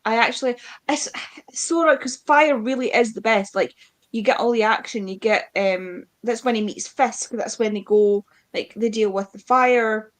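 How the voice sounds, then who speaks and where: A woman's voice: fairly high pitch, normal speed. A woman co-hosting an informal book discussion, chatting casually and acting out bits of dialogue as she retells. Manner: informal, acts out quoted dialogue. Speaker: book club live show co-host. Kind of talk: casual chat about books.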